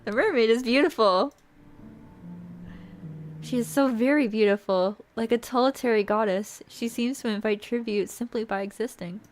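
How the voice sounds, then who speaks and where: A woman's voice: fairly high pitch, moderate pace. A woman streamer reading a visual novel aloud and chatting casually between passages. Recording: music faintly behind the voice. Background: music.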